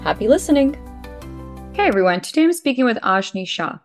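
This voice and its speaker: A woman's voice: a little high, big pitch swings, fairly quick, faint music behind. A woman hosting an interview podcast, asking questions in a friendly, upbeat way. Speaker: podcast host.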